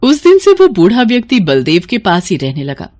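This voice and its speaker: Female bedtime-story narrator, scripted delivery, animated pitch movement, medium register. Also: moderate pace.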